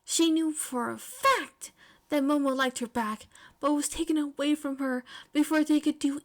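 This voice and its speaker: A woman reading scripted fiction aloud as an expressive podfic narrator. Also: sits high, normal speed.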